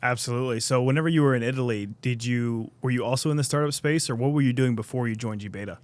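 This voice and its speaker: A man's voice: mid-range voice, quick pace, a little mellow, no room sound. A man hosting a podcast, interviewing a guest in an upbeat, friendly, casual way. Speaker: podcast host.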